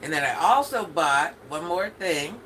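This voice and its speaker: Older woman with a deep, bright voice. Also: expressive, a little slow, slight background noise, poor audio. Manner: chatty, talks straight to viewers. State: stuffy from allergies. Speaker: livestream host. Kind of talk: casual livestream chat.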